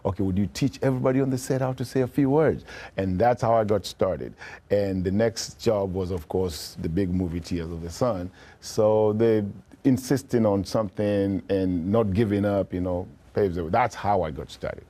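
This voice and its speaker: A man's voice: fairly low pitch, normal speed, warm tone. A man in his fifties, an actor telling his story relaxedly in an interview. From Kenya. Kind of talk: casual interview talk.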